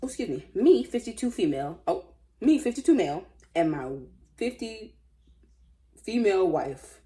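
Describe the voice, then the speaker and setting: A woman's voice: medium pitch, very animated, moderate pace, warm tone. An American woman casually reading stories aloud with informal, sassy and blunt running commentary.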